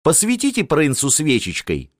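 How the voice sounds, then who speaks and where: A man's voice: on the high side, huge pitch swings, moderate pace, no room sound, clean studio sound, pitched above the performer's own voice. A man voicing a flustered noblewoman who fusses and calls for servants.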